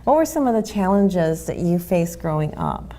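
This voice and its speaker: A woman's voice: lowish voice, sing-song, moderate pace, warm tone. An American woman who grew up speaking Spanish, hosting a conversational interview in an agreeable, affirming manner. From United States. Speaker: interview host.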